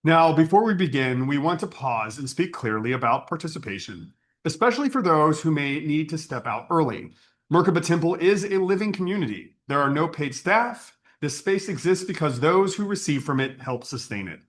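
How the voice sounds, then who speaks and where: Male spiritual service leader, slightly high pitch, highly varied melody, earnest and measured scripted address. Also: expressive.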